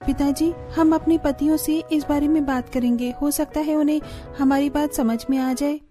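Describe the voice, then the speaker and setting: A woman's voice: high-pitched, fairly even intonation, normal speed, mellow, faint music behind, lightly acted. A woman voicing an earnest, dutiful young daughter-in-law, caring but firm, in a cartoon story. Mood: caring, resolute. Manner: earnest, pleading. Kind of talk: Hindi cartoon story voice acting.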